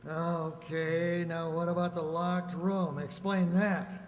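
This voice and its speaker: An actor playing a brisk, plain-spoken police lieutenant in a detective radio play. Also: male voice, on the high side, level tone, echoey room, big-room sound. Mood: skeptical, pressing.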